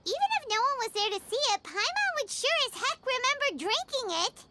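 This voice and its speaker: A woman voicing a tiny, excitable and anxious childlike fairy companion in a video game, squeaky and dramatic. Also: brisk, noisy background. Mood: indignant, animated. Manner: speaks of herself in third person, dramatic. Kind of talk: animated video game voice acting.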